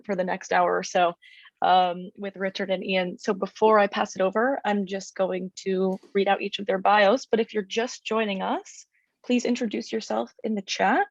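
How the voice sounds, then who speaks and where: A woman hosting a webinar in an upbeat, brisk way, her voice full of gravelly fry. The sound is dry, dull and lo-fi. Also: mid-range voice, steady tone, dry recording. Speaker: webinar host. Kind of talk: host moderating a live webinar.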